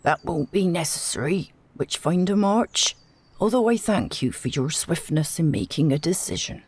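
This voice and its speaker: A woman voicing a calm, courteous male village elder with quiet menace, measured and polite in a storybook reading. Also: sits low, breathy edge.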